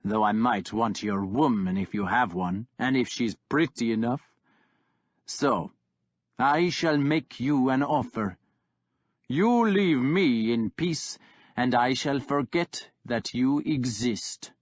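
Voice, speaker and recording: A man voicing a scornful older male warlord who taunts slowly and snarls. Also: mid-range voice, no room sound, muffled recording. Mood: scornful taunting. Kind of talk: audiobook character voice.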